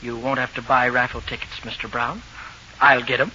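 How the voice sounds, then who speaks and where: Brash, sharp-voiced stubborn youth. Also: male voice, mid-range voice, flat delivery, normal speed. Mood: cool, defiant. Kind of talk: 1940s radio acting. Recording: dull, hissy old radio recording.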